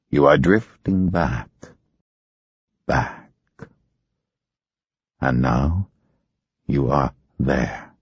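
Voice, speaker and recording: A man voicing a male hypnotist, giving soothing, slow, insistent commands. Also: a bass voice, breathy edge, no room sound, muffled recording, exaggerated voice.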